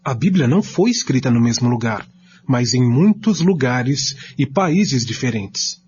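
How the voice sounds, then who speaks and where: A man reads scripted commentary as a co-presenter. His mid-pitched voice moves up and down a lot, and the recording is dulled and lo-fi. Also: expressive, unhurried, a little mellow. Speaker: co-presenter of a religious study programme. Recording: muffled lo-fi recording with noise.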